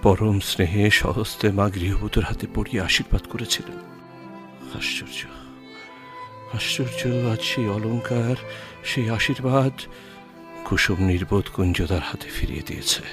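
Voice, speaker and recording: Man acting as deep-voiced solemn radio play narrator. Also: moderate pace, music in the background, close to an everyday voice. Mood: grave, astonished. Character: solemn male storyteller of a sentimental tale.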